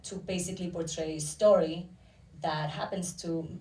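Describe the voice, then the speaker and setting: A Dominican-accented woman with a lowish voice answering questions at a festival talk, heard across an echoey room. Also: steady tone, moderate pace, dark tone. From Dominican Republic. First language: Spanish. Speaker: actor and filmmaker interviewed. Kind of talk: casual interview answers. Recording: roomy echo, distant microphone.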